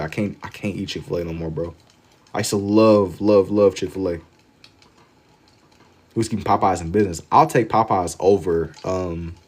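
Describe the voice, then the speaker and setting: A man with an American accent hosting a livestream, chatting casually and slangily with viewers, amused and often repeating phrases for emphasis. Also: sits low. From Louisville, Kentucky, United States. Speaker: livestream host. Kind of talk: casual chat with live viewers while drawing.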